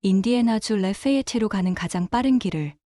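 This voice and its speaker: A woman reads a translation at high speed with a brisk, even delivery. Her voice is mellow and dark rather than bright. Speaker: interpreter voice-over. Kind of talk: read translation.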